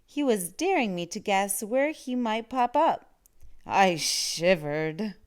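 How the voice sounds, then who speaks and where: A woman reading a children's story aloud in an expressive storytelling style. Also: medium pitch, slow pace, clear, resonant tone.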